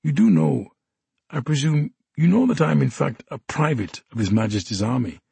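A man's voice: mid-range voice, expressive, normal speed, vocal fry, warm tone, breathy edge, dry recording, pitched above the performer's own voice, exaggerated voice. A man voicing an embittered, drunk one-handed soldier who talks in a formal, officious way and growls. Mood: mocking. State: drunk and unsteady.

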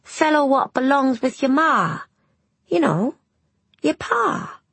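A woman voicing an excitable working-class boy in an audiobook, blunt and emphatic, with colloquial speech. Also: sits high, slow, gravelly, no room sound, voice pushed up, heavily acted. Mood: impatient. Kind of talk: audiobook character dialogue.

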